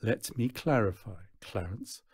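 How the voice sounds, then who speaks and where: A man's voice: fairly low pitch, expressive, a little slow, a little mellow, breathy edge, dry recording. A man voicing a self-assured, teasing showman in a children's storybook, coaxing and confident.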